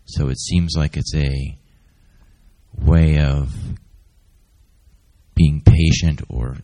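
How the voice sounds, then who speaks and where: Man, extremely deep voice, unhurried and pausing, reflective audience comment. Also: steady tone, mellow. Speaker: audience member.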